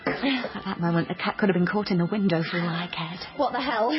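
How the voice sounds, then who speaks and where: A woman's voice: mid-range voice, animated, a little fast, very noisy, close to an everyday voice. An actress playing a naive, dreamy, chatty young woman in a radio drama, wry and melodramatic, by turns narrating and flustered. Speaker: lead character and narrator. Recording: dull lo-fi radio sound under music.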